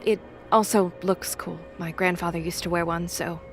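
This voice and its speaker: A woman playing a solemn, wary young seer girl in an audio mythology drama, measured, earnest and deadpan. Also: on the low side, soft background music, lightly acted.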